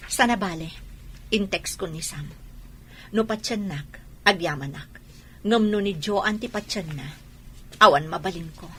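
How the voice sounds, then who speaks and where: A woman's voice: on the low side, measured pace, long pauses, sharp tone, a little hiss or hum. A woman playing a patient, wounded wife and mother in a radio drama, speaking earnestly. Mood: hurt, resigned.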